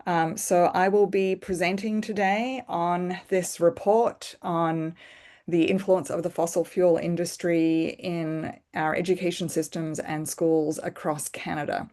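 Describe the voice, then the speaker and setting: A middle-aged woman with an Australian accent gives an earnest, measured presentation on a video call. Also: on the low side, a little mellow. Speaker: guest presenter. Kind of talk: online presentation.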